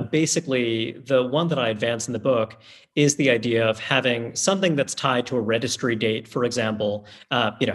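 Analytical man explaining policy detail. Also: mid-range voice. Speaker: guest author. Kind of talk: conversational discussion.

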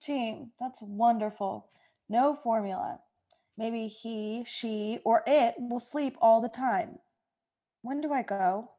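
A woman's voice: fairly high pitch, slow pace, mellow. A woman playing a dry, sardonic young college student who babysits, in a comedic radio play. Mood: dry, skeptical.

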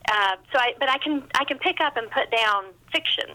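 A woman's voice: high voice, expressive, fairly quick, pushed and bright, low-quality recording. A woman speaking casually as a guest author in an interview, chatty and self-deprecating.

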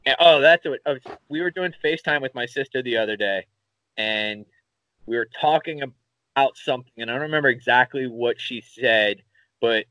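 A man with a Philadelphia accent, co-hosting a podcast in casual chat, telling rambling, animated stories and swearing casually. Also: mid-range voice, moderate pace, no room sound, poor audio. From Philadelphia area, USA. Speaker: podcast co-host.

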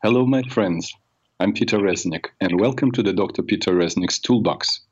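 An older man hosting a radio talk show, raspy from a cold, telling personal stories in a rambling way with many fillers. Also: sits low, moderate pace, highs cut off. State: has a cold. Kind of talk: solo talk show monologue.

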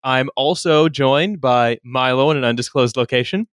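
A man hosting a podcast in casual comedic chat, rambling and halting with fillers. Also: mid-range voice, fairly bright tone, clean tone, dry recording, studio quality. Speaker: podcast host.

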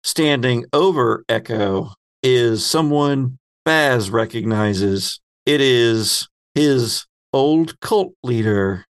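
A man hosting a tabletop horror game as game master, narrating scenes descriptively with halting pauses. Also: mid-range voice, clean tone.

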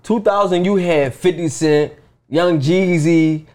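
Philly dude in his 30s hosting, kinda high voice, blunt slangy storytelling. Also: slow, a little mellow. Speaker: podcast host. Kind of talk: casual chat.

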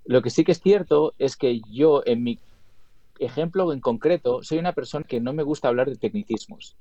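A man's voice: a little high, warm tone, dry recording, lo-fi sound. A man from Spain, a guest talking casually about his editing work, rambling with restarts and tag questions. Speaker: guest, video editor and colorist. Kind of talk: casual interview talk.

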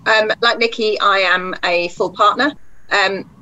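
Female practice manager panelist; bright, forceful tone, thoughtful, muffled audio. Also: medium pitch, steady tone, a little slow. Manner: thoughtful, self-correcting. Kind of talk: panel discussion.

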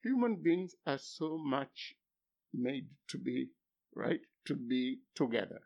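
An older man, a philosophy professor whose first language is Luo, speaking haltingly in an interview, then pressing with emphatic rhetorical questions. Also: medium pitch, big pitch swings, gravelly, a little mellow. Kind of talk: academic interview. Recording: dull lo-fi recording.

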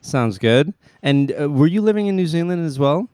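A man hosting a casual podcast interview, talking in a relaxed, rambling way with many restarts. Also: fairly high pitch, normal speed, clean tone, dry recording. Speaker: podcast host.

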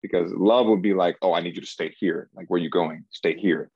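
A man from Cameroon in his thirties, a guest in an interview, talking things through slowly and hesitantly, full of "like" and restarts. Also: low-pitched, fairly even intonation, darkish tone, husky, no room sound, muffled recording. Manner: searching, hesitant, repeats himself and says "like" often. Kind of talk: reflective conversational interview.

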